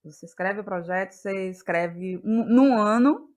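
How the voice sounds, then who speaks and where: A woman's voice: mid-range voice, normal speed. Female interview guest, reflective, searching delivery in an ordinary middle register. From Bahia, Brazil. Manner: searching, thoughtful, repeats words as ideas form. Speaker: interviewed guest, playwright and actress. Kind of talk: reflective interview talk about creative process.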